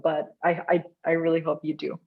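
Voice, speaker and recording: A woman from India with an Indian accent, speaking conversationally and earnestly as she answers audience questions, with expressive, laughter-prone delivery. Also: deep voice, a little fast. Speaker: guest speaker at a design meetup. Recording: dull, lo-fi online call audio.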